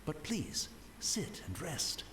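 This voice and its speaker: Elderly gentle tea master, courteous formal speech, lively rolling intonation, roomy echo, game drama. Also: male voice, a little high, slow pace, heavy background noise, full character voice. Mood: courteous, humble. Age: older. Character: gentle blind old male tea master, resigned and courteous. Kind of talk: dramatic video game voice acting.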